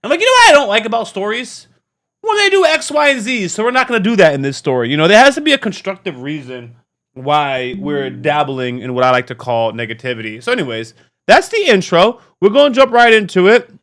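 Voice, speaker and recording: A man hosting a casual, unscripted reaction video, talking in an animated, slangy way and often repeating himself. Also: sits high, fairly quick. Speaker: YouTube reaction host.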